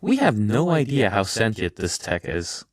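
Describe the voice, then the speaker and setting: Man as a very animated nerdy young hero. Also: on the low side, normal speed, no room sound, clean studio sound. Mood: musing. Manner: conversational, musing. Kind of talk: audio drama acting.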